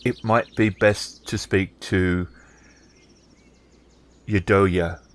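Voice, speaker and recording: A man's voice: deep voice, steady tone, very slow. A man voicing a weary middle-aged peasant farmer in a tabletop game, hesitant and deferential. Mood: wary, deferential.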